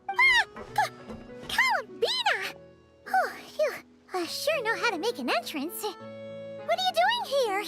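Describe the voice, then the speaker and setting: Tiny fairy companion: childlike, chatty, very high and animated, video game. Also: female voice, slow, pauses often, heavily acted. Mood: startled. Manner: talks of herself in third person, exclaims often. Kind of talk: video game character acting. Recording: loud background music. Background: tinkling children's game music.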